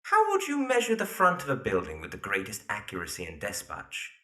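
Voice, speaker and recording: A man's voice: on the low side, huge pitch swings, a bit bright. A man playing an earnest, lovestruck young architect in a period audio drama, formal and hesitant in manner. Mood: businesslike. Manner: hesitant, formal, halting when flustered.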